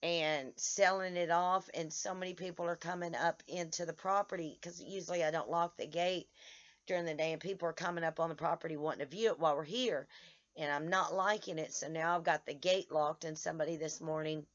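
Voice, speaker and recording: Hoarse woman, vlogger, tired, halting delivery full of restarts; muffled home-video sound. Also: low voice, fairly even intonation. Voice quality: halting, many restarts and stammers. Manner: tired, speaks in short broken phrases. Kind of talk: casual vlog to followers. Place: outdoors in the sun.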